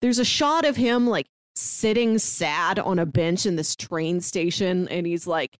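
A woman's voice: on the low side, a little slow, clean tone, no echo. Woman co-host, casual wry chat, close dry sound. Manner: chatty, wry, laughing asides. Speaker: podcast co-host.

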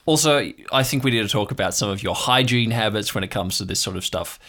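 A man's voice: lowish voice, fast, bright, forceful tone. Man playing a rambling, exasperated dad. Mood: awkward, nagging. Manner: hesitant, rambling, stammering. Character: distracted, exasperated middle-aged father, awkward and nagging.

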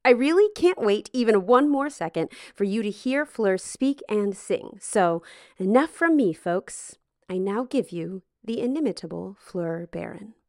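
A woman's voice: mid-range voice, animated, a little slow, a little mellow, no echo, studio quality. A woman hosting a podcast, reading a scripted, effusive introduction of her guest. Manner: enthusiastic, effusive. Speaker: podcast host. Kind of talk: scripted introduction, then casual interview.

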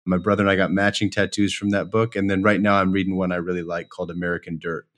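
A man with a fairly low voice speaks as a podcast guest. He is enthusiastic and self-deprecating, telling personal stories in a conversational, stop-and-start way. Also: level tone, quick pace, fairly bright tone. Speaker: podcast guest, company co-founder. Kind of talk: casual chat, personal storytelling.

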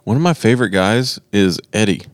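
A man's voice: lowish voice, expressive, a little fast, no echo, studio quality. A man co-hosting a podcast, chatting casually and joking as he tells stories. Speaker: podcast co-host. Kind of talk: casual conversation.

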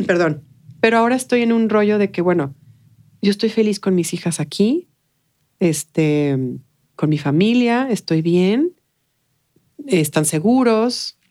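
A woman with a Mexican Spanish accent talking casually as an interview guest, expressive and colloquial, often checking in with little tag questions. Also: medium pitch.

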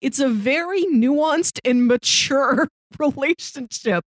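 A woman's voice: high-pitched, takes it slowly, dry recording, studio quality. A woman co-hosting a movie podcast, discussing films in a casual, conversational style.

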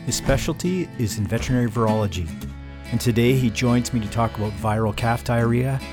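A man's voice: medium pitch, fairly quick. Man hosting, guitar faintly behind. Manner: friendly, scripted intro then easy questions. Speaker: podcast host, veterinarian. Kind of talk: podcast interview.

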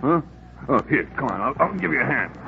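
An actor playing a rough, good-hearted cab driver in a 1950s radio drama, with folksy, ungrammatical working-class speech. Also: male voice, mid-range voice, sing-song, a little fast. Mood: startled concern. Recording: muffled, noisy vintage radio recording, narrow band.

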